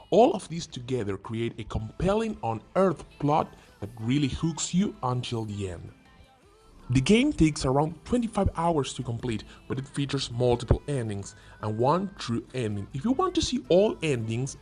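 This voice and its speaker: A man narrates a scripted video as its host, his voice a little high and swinging widely in pitch. He leans on key words and slips in playful asides. Soft music plays behind him. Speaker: video host presenting game recommendations. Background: background music.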